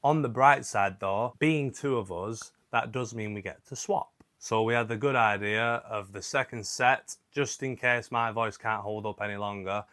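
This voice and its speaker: A man, a gigging singer talking casually through his week with a wry, self-deprecating manner and a slightly croaky voice from a sore throat. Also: lowish voice, moderate pace, few pauses, a bit bright, no echo, studio quality. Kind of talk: casual vlog narration.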